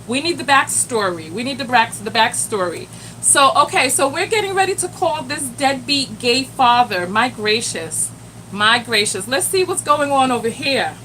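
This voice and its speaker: A woman's voice: high voice, normal speed, slight background noise, low-quality recording. A woman hosting a live stream as a self-love coach, chatting animatedly and talking straight to her viewers. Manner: animated, addresses the chat directly, exclaims "Lord have mercy".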